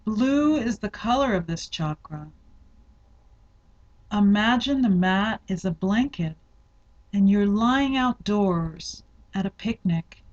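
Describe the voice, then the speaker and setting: A woman leading a guided yoga nidra meditation, giving instructions in a measured, deliberate way with spaced-out phrases. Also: medium pitch, animated, dull audio.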